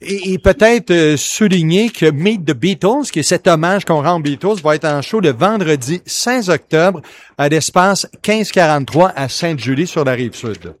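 A male radio host with a Quebec French accent and a very animated delivery. His voice sits high for a man and swings widely in pitch as he banters in a playful, casual way. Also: normal speed.

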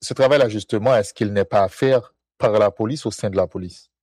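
A man hosting a discussion, speaking in a measured way and asking probing questions. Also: lowish voice, darkish tone, breathy edge, dry recording.

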